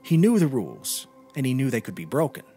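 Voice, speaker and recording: A man's voice: medium pitch, sing-song, brisk, warm tone, soft background music. A man reading a literary short story aloud as a scripted narration. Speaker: podcast reader.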